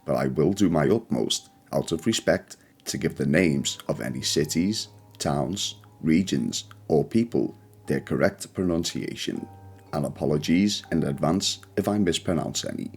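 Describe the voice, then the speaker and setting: A man hosting a channel and reading scripted ghost stories in a measured, solemn way. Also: very deep voice, expressive, husky. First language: not Polish; speaks English. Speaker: channel host and narrator. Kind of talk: scripted ghost story narration.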